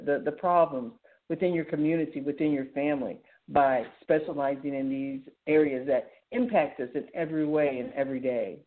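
Woman radio host on a phone-like line. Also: fairly low pitch. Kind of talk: informal solo talk, announcing articles and events. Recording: thin, phone-like narrow-band sound.

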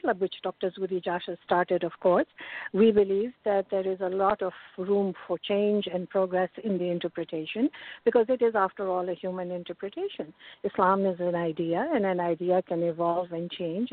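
A woman's voice: fairly low pitch, no room sound. Woman guest on a tinny phone line. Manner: measured, earnest, frequent hesitations. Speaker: guest activist. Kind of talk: radio talk show interview.

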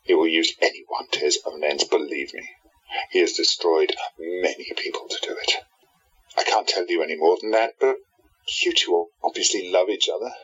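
A man's voice: deep voice, moderate pace, fairly bright tone, slightly rough, lo-fi sound. A man playing an intense, embittered hero in a radio drama, earnest and emphatic in his delivery. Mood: earnest warning. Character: intense wronged hero, bitter enemy of the villain.

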